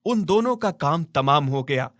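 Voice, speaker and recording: A man's voice: a little high, expressive, measured pace, a bit bright, no echo, studio quality. A man narrating a scripted moral story as a storyteller.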